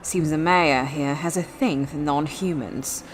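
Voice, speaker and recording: A woman playing a sly, predatory female vampire lieutenant in a fantasy audio drama, expressive, confident and teasing. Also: low voice, a little slow, a little hiss or hum, poor audio. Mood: confident, informative.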